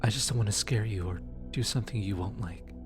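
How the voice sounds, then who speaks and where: A man playing a gentle, apologetic demon who comforts lost souls in an ASMR roleplay, speaking softly and hesitantly. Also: fairly low pitch, fast talker, music in the background, lightly acted. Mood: apologetic, tender. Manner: soft, soothing, hesitant with stammers. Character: gentle, apologetic male demon soul collector comforting the newly dead. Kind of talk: ASMR roleplay audio drama.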